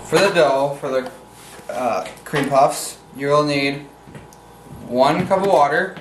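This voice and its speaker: A teenage male giving step-by-step cooking instructions in a halting, recited way in a home kitchen. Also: on the high side. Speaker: cooking video presenter. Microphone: camera mic at a distance. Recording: distant camera mic, roomy, noisy background.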